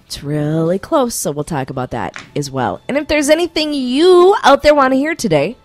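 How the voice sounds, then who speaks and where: A woman hosting a morning radio show, chatty and quick to laugh, losing her voice. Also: fairly high pitch, very animated, no room sound, soft background music. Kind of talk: casual morning radio banter. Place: radio studio. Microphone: studio microphone.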